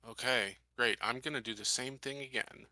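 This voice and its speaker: A man's voice: medium pitch, moderate pace, pushed and bright, no echo, poor audio. A man chatting casually as a player in a tabletop roleplaying game.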